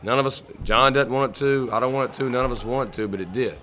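A middle-aged man, the head coach, answering questions at a postgame press conference with a table mic, measured and halting, repeating words as he thinks. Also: medium pitch, fairly bright tone, a little hiss or hum.

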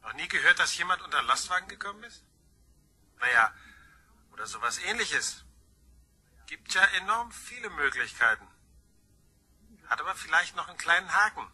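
An actor playing a sly, taunting anonymous blackmailer in a crime radio drama, insinuating and mock-sweet. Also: male voice, on the high side, big pitch swings, moderate pace, pauses often, pushed and bright, husky, heavily acted. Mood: menacing, taunting.

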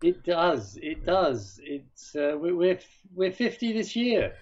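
A man's voice: high-pitched, sing-song, takes it slowly. A man being interviewed as a sailing class chairman over a video call, talking with many hesitations and restarts. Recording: dull video-call audio.

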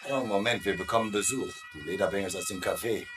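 An actor playing a brisk, sardonic military man in a dubbed wartime sitcom. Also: on the low side, fairly bright tone, soft background music, lightly acted. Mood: wry, brisk. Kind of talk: dubbed sitcom comedy acting.

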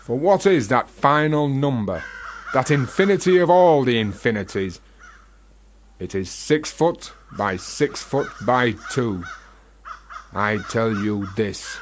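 A man acts a bitter, philosophical gravedigger in a radio drama. He talks slowly in a brooding, chanting, rhetorical manner. There is clear noise and crows cawing behind him. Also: mid-range voice, natural voice. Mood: obsessive, brooding. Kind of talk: radio play monologue acting.

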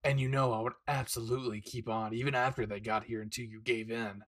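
A man acts out a brash young anime husband with a husky voice. He teases and reassures his wife tenderly, close and intimate, as in a romantic audio roleplay. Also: mid-range voice, level tone, fast talker, fairly bright tone, natural voice. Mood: playful, teasing. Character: brash, devoted young husband, excited father-to-be.

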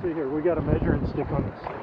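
A man's voice: a little high, little pitch movement, very fast. A man fishing with a friend, making short remarks about the catch outdoors. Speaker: fishing companion. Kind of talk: casual outdoor banter. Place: outdoors on a river. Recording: dull camera audio with loud wind.

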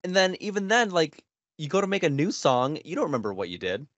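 A man's voice: fairly high pitch, big pitch swings, fast, a bit bright, no echo. A man in his thirties chatting casually as a podcast co-host. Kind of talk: casual chat. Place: room at home, indoors. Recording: slightly dull, band-limited audio.

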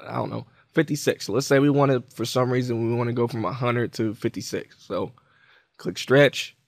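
A man's voice: medium pitch, steady tone, moderate pace, creaky, dry recording. Man giving a casual tutorial, dry close recording. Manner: informal, conversational, explains step by step. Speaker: tutorial presenter. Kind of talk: casual software tutorial walkthrough.

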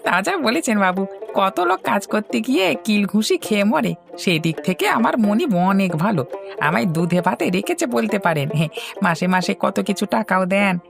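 A woman's voice: mid-range voice, lively intonation, fairly quick, creaky, over music. A woman voicing a loyal, chatty male servant in a village cartoon, deferential and folksy. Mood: eager, respectful. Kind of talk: cartoon dialogue.

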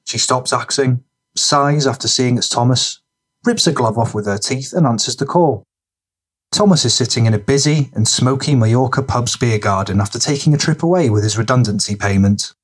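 Husky male audiobook narrator. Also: mid-range voice, normal speed. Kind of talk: scripted narration of gritty crime fiction.

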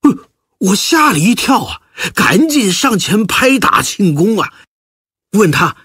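An actor playing an earnest villager fondly recalling his boyhood, in husky, animated storytelling. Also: sits high, slow pace, dry recording, clean studio sound, exaggerated voice. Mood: earnest, reminiscing.

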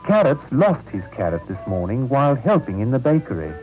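A man's voice: medium pitch, very animated, a little fast, a little mellow, lo-fi sound, faint music behind, pitched above the performer's own voice, natural voice. A man playing an earnest, polite young male helper who speaks apologetically.

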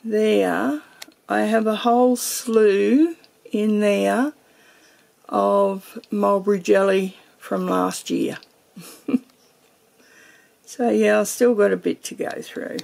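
A woman's voice: medium pitch, fairly even intonation, slow, creaky, long pauses, darkish tone, low-quality recording. A woman giving a casual, meandering tour of her home pantry, thinking aloud as she films her shelves. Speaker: homesteading vlogger.

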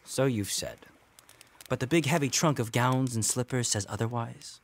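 An actor playing a gruff, mocking deckhand in a Regency audio drama, sarcastic and needling. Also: male voice, medium pitch, moderate pace, natural voice. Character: gruff, resentful, mocking male ship's deckhand.

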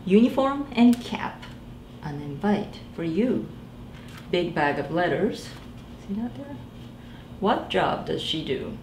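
A woman in her forties, a children's librarian, reading a picture book aloud in a gentle sing-song way and asking the children to guess. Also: on the low side, sing-song, slow, pauses often, echoey room, slight background noise. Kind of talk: storytime read-aloud for young children. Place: small library room indoors.

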